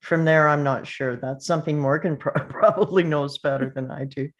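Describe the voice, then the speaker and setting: A woman's voice: sits low, steady tone, normal speed, muffled recording. A woman chatting casually in a group, with a light, laughter-prone way of talking.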